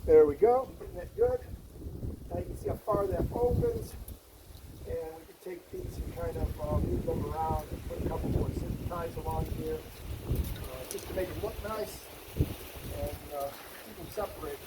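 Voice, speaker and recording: High-pitched man, noisy outdoor how-to vlog. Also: moderate pace. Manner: enthusiastic, folksy, talking while working. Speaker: host of a DIY Jeep project channel. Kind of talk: casual hands-on how-to vlog. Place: outdoors beside a vehicle, windy.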